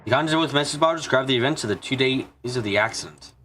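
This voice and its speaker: Man, mid-pitched voice, rapid pace, live fan-dub reading, slips on words, soft game music behind. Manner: stumbles over words and names while reading. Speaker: streamer doing an English fan dub of a game. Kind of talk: live read-aloud dubbing of visual novel narration and dialogue.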